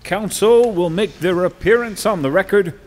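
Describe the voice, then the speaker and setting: A man acting as a stern Chief Justice in a courtroom audio drama, formal, measured and authoritative. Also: high-pitched, natural voice. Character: stern, stately male Chief Justice presiding over court.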